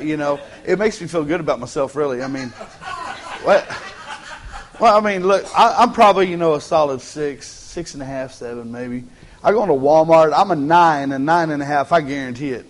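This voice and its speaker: Folksy Texan pastor with a higher voice. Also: male voice, a little fast. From Texas. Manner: joking, folksy, repeats words as he starts phrases. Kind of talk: casual humorous sermon. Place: church sanctuary.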